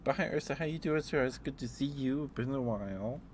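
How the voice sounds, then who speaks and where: A man's voice: mid-range voice, a little fast, darkish tone, a little hiss or hum. A man streaming ASMR, chatting casually and playfully to his live viewers in a rambling way.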